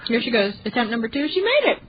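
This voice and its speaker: A young woman co-hosting a radio show, chatting casually with a soft, light voice and teasing, giggly banter. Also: fairly high pitch, very animated, quick pace, highs cut off, crowd noise. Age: young adult. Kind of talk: casual chat. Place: small radio studio. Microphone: studio mic.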